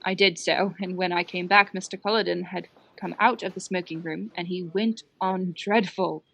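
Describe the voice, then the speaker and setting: An actress playing a naive, chatty young parlour maid in a radio play, gossipy and a little aggrieved. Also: female voice, fairly low pitch, steady tone, normal speed, vocal fry, a bit bright, low-quality recording. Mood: eager recounting. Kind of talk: dramatic radio-play acting.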